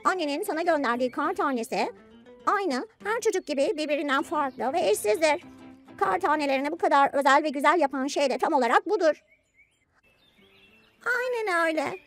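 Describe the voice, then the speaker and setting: A woman voicing a cheerful, wide-eyed little girl in a children's cartoon, with expressive delivery. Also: sits high, fairly quick. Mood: bright, explanatory. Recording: music bed behind the voice.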